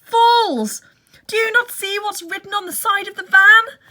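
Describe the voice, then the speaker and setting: A woman voicing an old, normally cynical male donkey, shouting in alarm in a storybook reading. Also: extremely high pitch, measured pace, voice pushed up, full character voice. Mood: frantic alarm.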